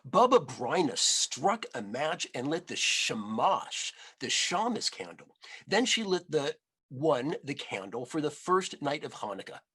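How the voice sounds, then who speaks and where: Animated, husky male storybook reader. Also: on the high side, unhurried. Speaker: rabbi reading aloud. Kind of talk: expressive children's storybook narration.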